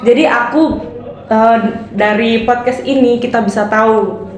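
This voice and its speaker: A young woman from East Nusa Tenggara hosting a casual podcast interview, friendly and full of fillers and quick agreements. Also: on the high side, steady tone. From East Nusa Tenggara, Indonesia. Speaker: podcast host. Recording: very echoey room, faint noise.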